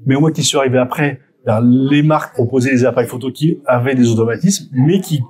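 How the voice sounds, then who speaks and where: A man's voice: medium pitch, brisk, darkish tone. Middle-aged Frenchman reminiscing, hesitant and self-correcting. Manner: hesitant, self-correcting, with frequent word repetitions. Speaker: photographer being interviewed. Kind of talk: spontaneous interview answers, reminiscing.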